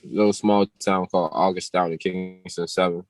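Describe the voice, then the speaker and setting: Man, twenties, Jamaican accent, very low voice, relaxed casual talk, laughs often. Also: normal speed, no room sound. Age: 20s. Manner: laid-back, slangy, laughs often. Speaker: guest musician. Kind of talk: casual interview chat.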